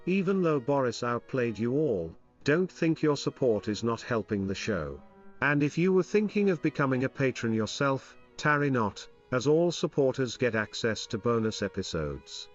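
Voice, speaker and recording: A male podcast host delivers a scripted promo in a mid-pitched voice with tongue-in-cheek humor. The recording is a little dull, with faint music underneath. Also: big pitch swings, normal speed. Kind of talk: scripted sponsor read and supporter thanks.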